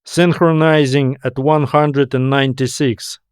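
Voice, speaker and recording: A man's voice: mid-range voice, steady tone, unhurried, darkish tone, clean tone, dry recording, clean studio sound, close to an everyday voice. A man voicing a focused male spaceship pilot who gives clipped, terse commands in a science fiction audio story. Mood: focused, calm.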